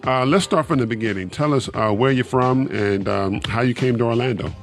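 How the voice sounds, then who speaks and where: A man hosting an interview show, speaking haltingly with many uhs and restarts. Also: mid-range voice, soft background music. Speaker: podcast host.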